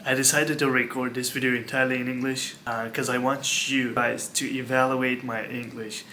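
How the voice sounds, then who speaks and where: Earnest young man, flat bright voice. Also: medium pitch, moderate pace, a little hiss or hum. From Istanbul, Turkey. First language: Turkish. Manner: earnest, repeats words, fills with like and um. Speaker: vlogger. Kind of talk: casual talk to camera.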